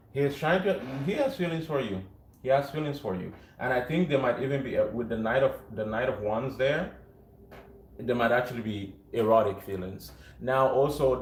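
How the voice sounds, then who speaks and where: A man with a deep, low voice giving a rambling tarot reading to a viewer, often breaking off mid-thought, recorded without a microphone. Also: slight background noise. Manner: rambling, self-interrupting, frequent fillers. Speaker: tarot reader. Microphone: no microphone, built-in device mic. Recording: echoey room.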